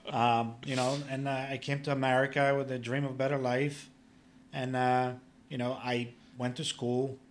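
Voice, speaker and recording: A man's voice: mid-range voice, a little hiss or hum. Man explaining plainly. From Poland. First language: Polish. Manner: plain-spoken and instructive, with filler "you know". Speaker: public adjuster, guest. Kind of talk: casual podcast interview.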